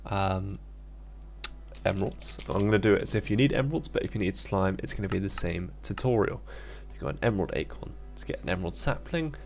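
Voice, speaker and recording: Low, gravelly man presenting a tutorial. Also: darkish tone, a little hiss or hum. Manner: informal, step-by-step instructing. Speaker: tutorial host. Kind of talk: casual gaming tutorial narration. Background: background music.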